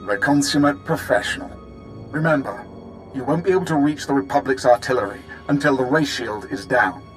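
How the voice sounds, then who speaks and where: Casting: male actor as haughty, impatient military commander, clipped and authoritative, medium pitch, sci-fi game with faint score underneath. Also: a little fast, soft background music, lightly acted. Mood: urgent, commanding. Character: brusque, commanding Imperial military officer, proud and impatient. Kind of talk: video game cutscene acting.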